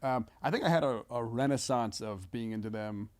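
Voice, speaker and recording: A man who is a comedian guest on a podcast, chatting casually in a halting, rambling, slangy way. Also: mid-range voice.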